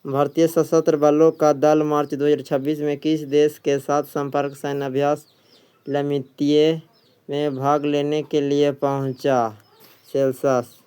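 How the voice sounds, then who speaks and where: A man's voice: fairly high pitch, little pitch movement, a little slow, warm tone, clean tone. A man working as an exam coach, reading quiz questions and answers aloud in an instructional way, often repeating them.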